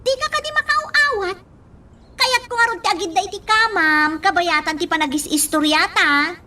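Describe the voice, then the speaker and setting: A pushy, impatient woman in a radio drama. Her voice is extremely high and sharp, and she nags and scolds with exasperated insistence. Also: moderate pace. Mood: scolding, pushy. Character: bossy, impatient older sister figure, moody and pushy. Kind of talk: radio drama acting in Ilocano.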